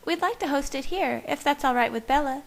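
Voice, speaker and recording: Woman acting a high-pitched, bubbly young vampire sister. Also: vocal fry. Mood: chirpy. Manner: excitable, brisk, emphatic. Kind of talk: audiobook character voice.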